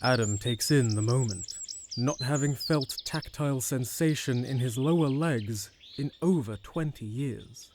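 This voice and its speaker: Guy narrating an audio drama, medium pitch, bit of noise behind. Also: unhurried.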